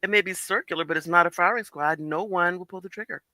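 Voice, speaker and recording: A man's voice: high-pitched, fast, bright, forceful tone, no echo, clean studio sound. A man speaking bluntly and emphatically in a political panel discussion.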